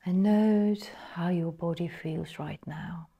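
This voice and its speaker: A woman guiding a meditation in a soft, breathy, partly whispered voice, measured and full of pauses. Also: on the low side, highs cut off. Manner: slow, measured, pausing between phrases. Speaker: meditation guide. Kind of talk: guided meditation narration.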